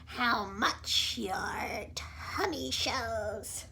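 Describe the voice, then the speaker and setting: A woman's voice: a little high, very slow, vocal fry, bright, forceful tone, slight background noise, exaggerated voice. A woman voicing a spiteful old aunt in a storybook reading, sneering and snappish.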